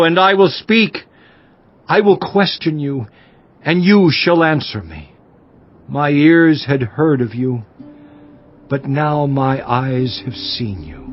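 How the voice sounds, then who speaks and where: A man's voice: on the high side, full character voice. An actor playing Job, a humbled and repentant suffering man, fervent and emotional in a dramatized scripture reading. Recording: muffled audio with faint music.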